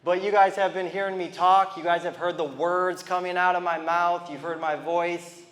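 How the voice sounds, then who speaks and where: A man's voice: high voice, fairly even intonation, normal speed, a bit bright, in a large hall. A man in his thirties from Ohio with a lisp, doing a stand-up comedy set in a loose, rambling way with asides to the crowd. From Toledo, Ohio, US. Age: 30s. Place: comedy venue with a live audience.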